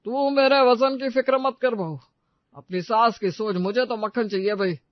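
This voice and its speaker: A man's voice: very high voice, normal speed, no room sound, muffled recording. A man voicing a grumbling, stubborn older grandfather who is greedy for his food in a cartoon story. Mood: indignant, boastful. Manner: complaining, stubborn.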